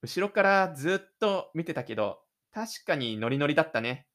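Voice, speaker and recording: A man acts out an exasperated mother in an audio drama. She is stern with her teenage son, and the voice sits a little high for a man's. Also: lively intonation, normal speed, a bit bright.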